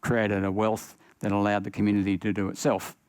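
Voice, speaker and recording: An older man with an Australian accent speaking on a panel, pausing often with fillers. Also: lowish voice, completely flat delivery, a little mellow, clean tone, no room sound, studio quality. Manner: halting, many uh fillers. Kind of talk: panel discussion.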